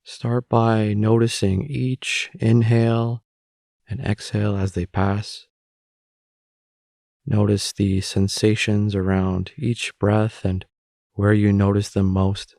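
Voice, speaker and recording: Male meditation guide, lowish pitch, very slow calm delivery with long pauses, dry close-mic sound. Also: creaky, dry recording.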